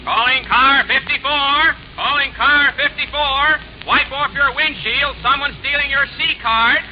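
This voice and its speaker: A man playing a police radio dispatcher with drawn-out calls in a 1940s radio comedy. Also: very high-pitched, steady tone. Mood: official, droning. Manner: drawn-out calling. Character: droning, high-voiced police radio dispatcher. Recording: muffled, noisy old radio recording.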